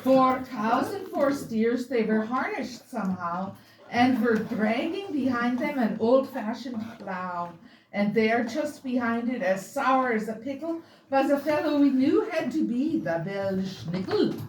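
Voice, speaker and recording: A woman reads a rhyming holiday story aloud in a playful, theatrical, drawn-out way. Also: fairly high pitch, animated. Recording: roomy, distant mic, faint noise.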